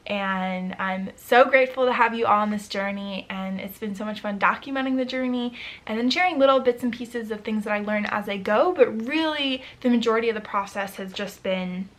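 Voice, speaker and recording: Chatty young woman, slightly hoarse. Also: a little high, fairly bright tone. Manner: chatty, upbeat, with frequent fillers. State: slightly hoarse, losing her voice. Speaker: vlogger. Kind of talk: casual vlog talk to camera.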